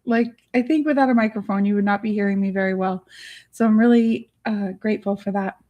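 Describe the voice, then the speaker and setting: A middle-aged woman with a raspy, hoarse voice, hosting a casual live chat from her home studio on a studio mic. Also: mid-range voice, fairly even intonation, fairly quick, muffled recording. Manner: chatty, bubbly, giggly. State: hoarse, worn voice. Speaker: livestream host. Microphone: studio microphone.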